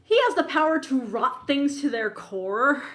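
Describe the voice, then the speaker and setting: Girl podcast cohost, pretty high voice, chatty and emphatic, says like a lot, casual banter. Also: animated, a little slow, a bit bright. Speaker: podcast co-host. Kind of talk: casual chat, review banter.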